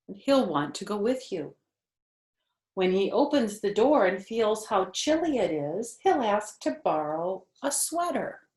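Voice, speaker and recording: Older woman reading aloud, very expressive pitch. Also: echoey room. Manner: grandmotherly, chatty, asking listeners questions and pausing on phrases. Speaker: storyteller reading a picture book to children. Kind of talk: animated read-aloud with casual asides.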